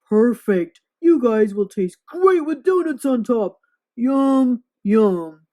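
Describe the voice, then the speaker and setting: A woman voicing a big, grumpy, childish, hungry monster for a children's storybook, with blunt, booming exclamations. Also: expressive, slow, mellow. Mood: greedy, hungry.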